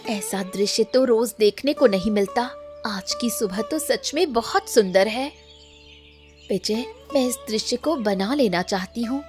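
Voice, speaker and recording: A woman playing a gentle, affectionate village family woman in a Hindi cartoon story, tender and appreciative. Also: on the high side, animated, unhurried, over music.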